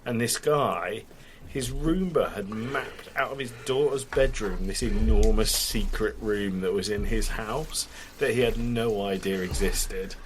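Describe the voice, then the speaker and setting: Man, slightly deep voice, mild cold, sweary anecdotes, casual podcast chat, noisy recording. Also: measured pace. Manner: sweary, easily sidetracked. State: getting over a cold. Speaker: podcast co-host.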